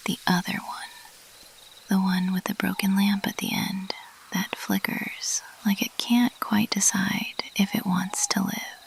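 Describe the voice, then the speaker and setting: Woman as a slow, whispering, teasing bat girl. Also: medium pitch, little pitch movement. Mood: playful, coy. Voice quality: breathy whisper. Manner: slow, teasing, intimate, lingering on pauses. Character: mischievous, teasing female bat monster girl, playfully elusive. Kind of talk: ASMR roleplay, addressed to the listener.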